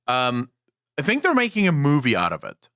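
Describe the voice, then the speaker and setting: A man's voice: mid-range voice, wildly swooping pitch, moderate pace, a bit bright. A man whose first language is Afrikaans, co-hosting a gaming podcast in casual, blunt, profane chat. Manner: blunt, profane, opinionated ranting. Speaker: podcast co-host.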